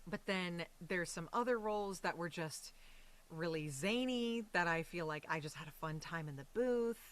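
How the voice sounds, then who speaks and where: A woman's voice: on the low side, moderate pace. An American woman talking casually and animatedly as an interview guest. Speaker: guest, voice and stage actress.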